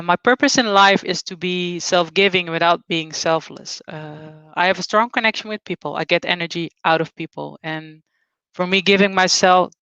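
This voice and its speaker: A woman in her forties, raised in Suriname, speaks in a relaxed, personal way. Her voice is smooth and resonant. The sound is dull and lo-fi, dry, with no room. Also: on the low side, dry recording. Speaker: conference speaker. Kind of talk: webinar talk.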